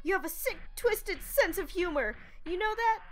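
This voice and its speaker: A woman voicing an indignant young goat monster boy in a comic dub, shouty and wounded. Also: very high-pitched, expressive, normal speed.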